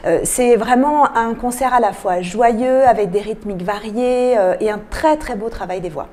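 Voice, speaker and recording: A woman explaining a cultural programme as a radio interview guest, often pausing to find her words. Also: fairly high pitch, dark tone. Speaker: guest, arts programming manager.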